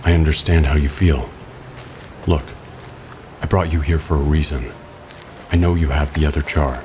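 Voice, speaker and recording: An actor playing a stern, brooding, protective masked vigilante in an audio drama, speaking in a terse, controlled, grave way with music behind him. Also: male voice, extremely low pitch, fairly even intonation, normal speed, noisy background. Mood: calm, terse.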